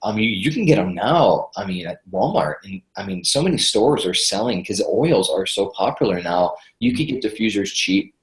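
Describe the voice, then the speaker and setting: A man's voice: on the low side, lively intonation, moderate pace, few pauses, echoey room, poor audio. A congested man from Michigan, a guest health expert chatting enthusiastically in a podcast interview. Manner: chatty, enthusiastic, repeats words when starting sentences.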